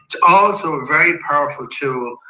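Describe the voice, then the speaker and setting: A man with a slightly croaky voice from a head cold, a company executive calmly walking shareholders through an investor presentation slide by slide. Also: on the high side. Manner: measured and businesslike, with occasional restarts. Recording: echoey room.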